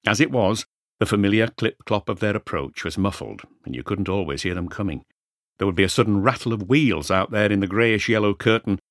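A man reading a Victorian mystery novel aloud in a measured, literary storytelling manner. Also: deep voice, a bit bright, dry recording, studio quality. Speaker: audiobook narrator. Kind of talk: scripted first-person narration of a Victorian mystery novel.

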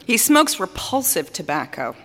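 An actress playing a vain, capricious opera singer in a theatrical monologue, speaking grandly with dramatic pauses, both scornful and adoring. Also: female voice, mid-range voice, big pitch swings, fairly bright tone. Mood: scornful complaint. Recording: reverberant hall stage recording.

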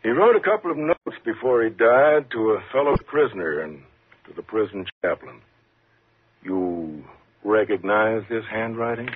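An actor playing a calm, measured, patronizing prison warden in a 1950s radio thriller. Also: male voice, on the low side, breathy edge. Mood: matter-of-fact. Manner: measured, reassuring, condescending. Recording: muffled, lo-fi 1950s radio recording.

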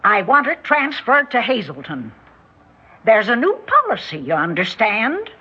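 Husky, cutting American matriarch, imperious and insistent, animated pitch, 1960s radio drama. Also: female voice, unhurried, exaggerated voice. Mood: commanding. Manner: commanding, sharp, insistent. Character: imperious, steely aunt who commands the family. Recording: dull 1960s radio recording.

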